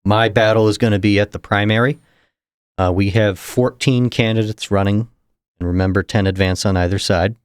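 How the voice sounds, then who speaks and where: Young man with a low, dry voice. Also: steady tone, clear, resonant tone, no echo. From New Hampshire. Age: young adult. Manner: measured, explanatory, chuckles between points. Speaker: political candidate guest. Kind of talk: casual interview.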